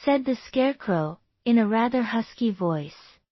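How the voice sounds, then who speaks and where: A woman's voice: fairly high pitch, animated, a little slow, no echo, lo-fi sound. A woman narrating a scripted children's bedtime story as a read-aloud.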